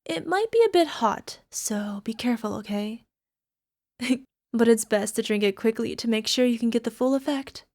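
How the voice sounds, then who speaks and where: A woman playing a young, sweetly possessive yandere alchemist girlfriend in an ASMR roleplay, coaxing softly and sometimes slipping toward a whisper. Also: on the high side, lively intonation, normal speed, no echo, clean studio sound. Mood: proud, playful. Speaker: voice actor. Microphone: close microphone, dry.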